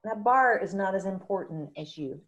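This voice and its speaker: A woman's voice: mid-range voice, animated, moderate pace, highs cut off, lightly acted. Woman reading as tough country bar-owner mother. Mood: firm, resigned. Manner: blunt, wry. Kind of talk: screenplay table read.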